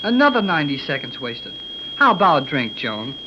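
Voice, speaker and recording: A woman playing an excitable, wisecracking boy in a 1930s radio serial, slangy and impatient. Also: low-pitched, brisk. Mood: wry, impatient. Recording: muffled, hissy 1930s radio recording.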